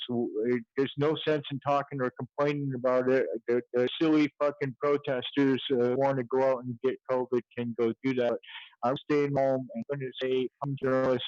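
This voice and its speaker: A man with a hoarse voice talks off the cuff as an activist on a panel stream. He rambles and swears freely in loose slang. The sound is dry and slightly dull. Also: mid-range voice, a little mellow, dry recording. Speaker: activist journalist on a livestream panel. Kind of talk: casual impassioned panel chat.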